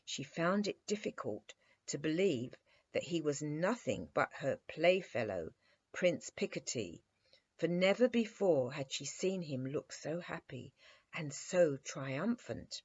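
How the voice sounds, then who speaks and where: A woman's voice: deep voice, big pitch swings, takes it slowly, darkish tone, breathy edge, lo-fi sound. A woman storyteller narrating a children's bedtime story expressively.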